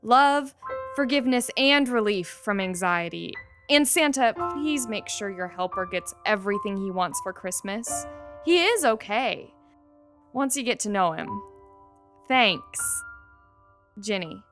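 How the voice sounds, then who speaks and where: Woman as an outspoken young girl, very expressive. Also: medium pitch, measured pace. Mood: earnest, tender. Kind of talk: audio play dialogue. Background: music.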